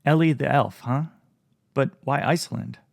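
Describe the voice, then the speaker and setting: A bewildered young man, wry and plainspoken even while overwhelmed, as a narrator reads him. The voice has a gravelly texture and sounds dry and close. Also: medium pitch, moderate pace, dry recording, lightly acted.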